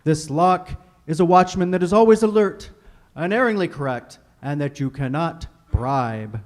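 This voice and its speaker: A man playing a courteous radio announcer and sponsor pitchman in polished, formal 1940s style. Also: animated, normal speed, natural voice. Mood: courteous, persuasive. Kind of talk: recreated 1940s radio announcing, scripted narration.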